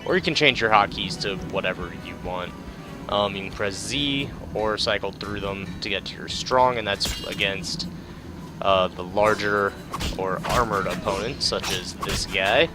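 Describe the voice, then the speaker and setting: A man's voice: on the low side, unhurried. A man doing casual, laid-back game commentary, thinking aloud and reading tutorial text. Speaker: let's play host. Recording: game music behind. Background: game music.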